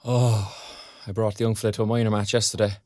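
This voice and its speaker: A man's voice: fairly low pitch, quick pace, no echo, clean studio sound, natural voice. An actor playing a chatty, put-upon, good-natured younger father in an audio drama, speaking colloquially with an Irish accent. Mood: rueful, animated. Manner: colloquial, animated.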